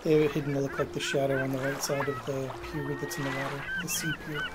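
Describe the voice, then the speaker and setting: A man's voice: medium pitch, wildly swooping pitch, fast talker, heavy background noise. A man casually commentating on a game with a co-player, making sarcastic, joking asides. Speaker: co-host of gameplay show.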